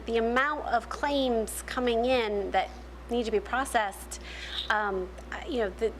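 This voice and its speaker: Woman panelist, fairly high voice, explanatory and halting, faint room hum behind. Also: low-quality recording. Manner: explanatory, many restarts and fillers. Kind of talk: panel discussion answer.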